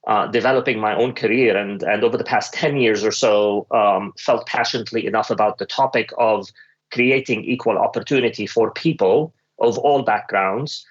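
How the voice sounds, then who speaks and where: A man from the Middle East speaking as an executive panellist, giving measured answers and often pausing to search for words. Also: lowish voice, steady tone, husky. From Middle East (Lebanon, Kuwait, Dubai). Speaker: panellist, senior finance executive. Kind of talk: panel discussion, considered answers. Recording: dull, muffled lo-fi audio.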